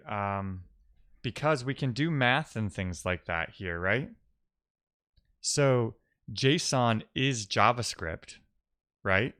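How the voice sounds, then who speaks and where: A man's voice: lowish voice, fairly bright tone, clear, resonant tone, no echo, studio quality. A man talking casually through technical ideas as a live-coding streamer, musing aloud and posing questions to himself.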